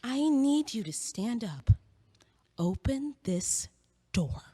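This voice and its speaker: A blunt impatient student. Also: female voice, fairly high pitch, very animated, mellow, slightly rough, big-room sound, full character voice. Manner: brisk, blunt, dryly logical. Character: impatient young female student, blunt and sardonic. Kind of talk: audio drama acting.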